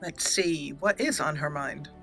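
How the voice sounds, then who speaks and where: Bright-voiced woman narrating a roleplay let's play. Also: low-pitched, a little slow, faint music behind. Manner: reads character dialogue aloud expressively. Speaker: gaming channel host.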